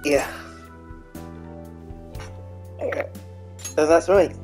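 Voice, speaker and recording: A man casually reacting to game cutscenes as a let's player, in short, halting remarks. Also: a little high, a little mellow. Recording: game music behind the voice.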